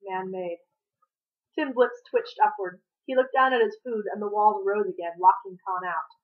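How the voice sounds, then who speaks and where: A woman's voice: medium pitch, fairly even intonation, moderate pace, narrow, tinny audio. A woman reading fiction aloud as a narrator, in the manner of an author reading her own novel. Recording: thin, band-limited home recording.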